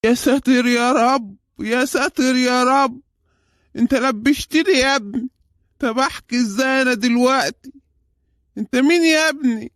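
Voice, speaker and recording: An elderly Egyptian cartoon grandfather with a high voice in a dry recording. He is kindly and fussy, easily rattled, and draws out his exclamations like a comic storyteller. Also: moderate pace, fairly bright tone, no room sound. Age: older.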